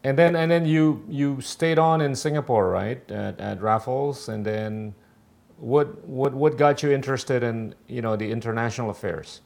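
A middle-aged man hosting an interview, asking questions in a halting way, often repeating his words. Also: mid-range voice, creaky, darkish tone. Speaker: host.

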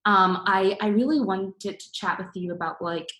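A young woman from Singapore hosting a casual interview, chatty and quick to restart her sentences. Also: medium pitch, fairly bright tone. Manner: chatty, restarts often, many fillers. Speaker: podcast host. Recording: echoey room.

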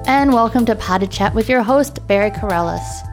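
A woman's voice: mid-range voice, animated, moderate pace. A woman reading a scripted introduction for a podcast network she founded, in an upbeat announcer manner. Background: faint music.

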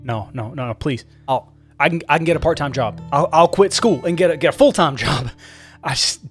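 A man's voice: medium pitch, fairly even intonation, a little fast, faint music behind, close to an everyday voice. Man acting a desperate, stammering teenage boy. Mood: panicked, pleading. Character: panicked, pleading fifteen-year-old boy. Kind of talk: audiobook character dialogue.

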